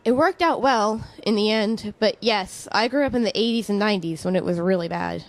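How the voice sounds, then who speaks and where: Woman playing a measured middle-aged professor. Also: medium pitch, lightly acted. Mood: reflective. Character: middle-aged female chemistry professor, friendly and reflective. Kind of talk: conversational audio drama acting.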